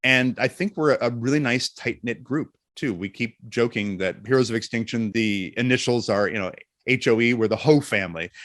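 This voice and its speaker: A man's voice: mid-range voice, fairly bright tone, dry recording, clean studio sound. A man talking conversationally as an interview guest, explaining his voice work thoughtfully. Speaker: voice actor and narrator, interview guest.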